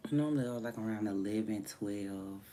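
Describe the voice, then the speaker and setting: A woman chatting casually on a morning livestream from home, her voice deeper than usual from just waking up, rambling and drifting between soft murmurs and livelier bursts. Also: normal speed, slight background noise. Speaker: livestreamer and music artist.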